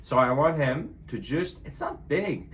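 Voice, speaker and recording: A man's voice: medium pitch, lively intonation, a little slow, slight background noise. A man who teases his partner and lectures him, in a casual chat. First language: French. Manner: teasing, bossy. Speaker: vlogger's boyfriend.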